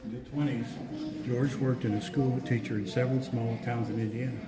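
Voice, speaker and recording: A man's voice: medium pitch, steady tone, a little fast. A man on a tour, reading exhibit labels aloud in short casual remarks, in an echoey spot with a crowd behind. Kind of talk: casual remarks while touring.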